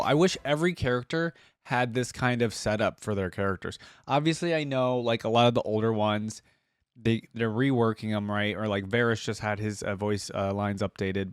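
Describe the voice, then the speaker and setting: A man's voice: on the low side, dry recording, studio quality. A man giving casual reaction commentary on game voice lines as a host, musing aloud in loose, informal fragments.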